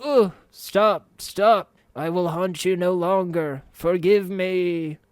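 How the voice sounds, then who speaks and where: A man faking a ghost's voice, pitched up with a ridiculous put-on accent. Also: fairly even intonation, takes it slowly, clear, resonant tone, no room sound. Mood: mock-haunting. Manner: put-on high voice with a silly accent, squeaky. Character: man faking a ghostly dead voice, mock-haunting.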